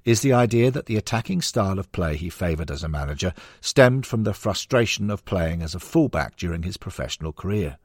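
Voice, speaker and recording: A man with a very low, husky voice narrates a sports history audiobook. The recording is dry and close, with very little room sound. He reads scripted non-fiction prose. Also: animated, a little fast, hardly any pauses, dry recording.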